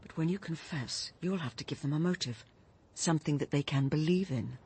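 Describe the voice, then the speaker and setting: An actress playing a conscientious, morally shocked woman confronting a friend, a breathy voice and an earnest, grave manner in radio drama style. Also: deep voice, brisk, a little mellow, a little hiss or hum. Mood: reluctant, firm. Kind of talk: BBC radio drama acting.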